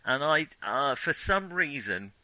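A man's voice: medium pitch, big pitch swings, slow, pushed and bright. A man co-hosting a casual film discussion, speaking in a hesitant way and often qualifying what he says. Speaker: film discussion podcast co-host.

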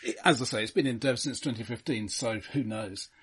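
A man co-hosting a film podcast, speaking casually in a measured, matter-of-fact way. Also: medium pitch, a bit bright, slightly rough.

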